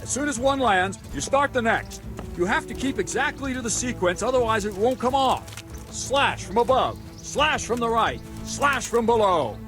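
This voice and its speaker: A medieval sword instructor from a video game, stern and exacting. His voice sits high for a man and swings widely in pitch. He talks bluntly and commandingly, teaching as he goes, with music behind him. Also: lively intonation, moderate pace. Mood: commanding, urgent. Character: stern, demanding medieval swordmaster drilling a pupil. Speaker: combat trainer.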